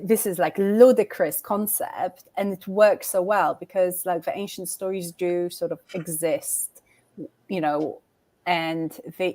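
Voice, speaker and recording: A woman's voice: fairly low pitch, a little mellow. A woman interviewing an author on a livestream, asking long, hesitant questions full of "like" and restarts. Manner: rambling, hesitant, many "like" fillers. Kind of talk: livestream conversation.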